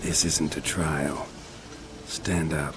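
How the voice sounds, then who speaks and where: An actor playing a gruff, world-weary monster hunter in a video game, terse and deadpan with a gravelly voice. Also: male voice, slow, over music. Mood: grim. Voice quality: low, gravelly. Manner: terse, deadpan, clipped sentences. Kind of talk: video game character acting.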